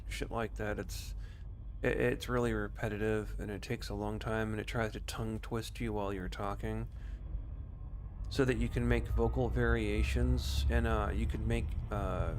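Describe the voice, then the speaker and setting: A man livestreaming a game, chatting in a casual, rambling, informal way and giving voice commands. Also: lowish voice. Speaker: game streamer.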